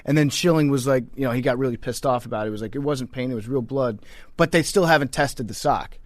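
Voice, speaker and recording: A man's voice: mid-range voice, fast talker, no room sound. A man co-hosting a sports podcast in casual, profane banter, telling stories informally. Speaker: podcast co-host.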